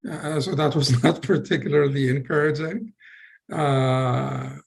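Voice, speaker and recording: A middle-aged man from Egypt with an Egyptian accent speaks over a video call at a mid-range pitch. He gives a personal talk with frequent fillers and restarts, measured and unhurried. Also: fairly even intonation, gravelly. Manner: hesitant, many fillers and restarts. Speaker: physician guest speaker. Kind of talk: personal talk in an online session.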